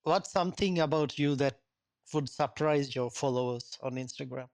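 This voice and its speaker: A friendly man hosting a podcast, putting relaxed questions to his guest. He laughs along easily, with a mid-range voice. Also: medium pitch, normal speed. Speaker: podcast host. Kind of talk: casual interview questions.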